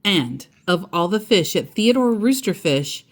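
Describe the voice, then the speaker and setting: A woman reading a children's picture book aloud in an expressive, playful way, with chatty asides. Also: lowish voice, unhurried. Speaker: storytime host and narrator.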